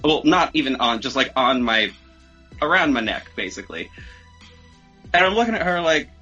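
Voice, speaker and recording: A man's voice: mid-range voice, normal speed, slightly rough, dull audio, soft background music. A man telling a story casually on a podcast, swearing freely and acting out what people said. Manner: expressive, acts out quoted remarks. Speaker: podcast speaker.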